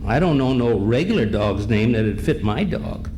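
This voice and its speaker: A man's voice: medium pitch, expressive, normal speed, warm tone, lightly acted. A man playing an earnest, stubborn, folksy son in an old-time radio comedy. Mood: stubbornly proud. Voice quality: folksy, colloquial speech. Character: earnest, stubborn son proud of his unusual dog. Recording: echoey, noisy ballroom recording.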